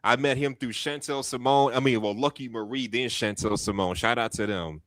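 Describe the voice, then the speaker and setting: A man's voice: medium pitch, normal speed, a bit bright, no echo, clean studio sound. A man chatting on a live stream as a fellow streamer, easygoing and laid-back. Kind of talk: casual chat on a live stream.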